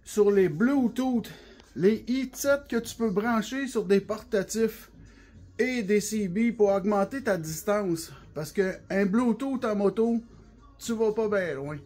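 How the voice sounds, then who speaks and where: A man's voice: high-pitched, slow, darkish tone. A man with a Québécois French accent and a touch of flu, giving an informal, colloquial, step-by-step product explanation and often repeating himself. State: has the flu, coughing now and then. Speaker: CB radio specialist giving a product demonstration. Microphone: phone held by the speaker.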